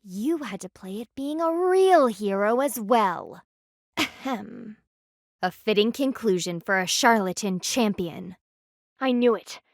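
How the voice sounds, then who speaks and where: A woman's voice: mid-range voice, expressive, slow, no room sound, clean studio sound. A woman voicing a stern, proud panda warrior in a video game, with a formal, commanding, clipped manner. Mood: cold, scornful. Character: stern, proud anthropomorphic panda woman, loyal military guardian.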